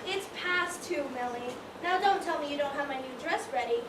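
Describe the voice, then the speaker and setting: An echoey stage recording, picked up from afar, of a woman playing a prim society lady. She is nosy and condescending, chiding genteelly in a very high voice. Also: moderate pace, recorded from a distance, background noise, natural voice. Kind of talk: amateur stage play acting.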